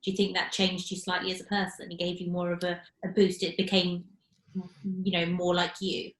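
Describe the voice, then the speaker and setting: A woman's voice: on the low side, monotone, brisk, reverberant, poor audio. A woman co-hosting a podcast, asking a guest curious, encouraging questions. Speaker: podcast co-host. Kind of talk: casual interview chat.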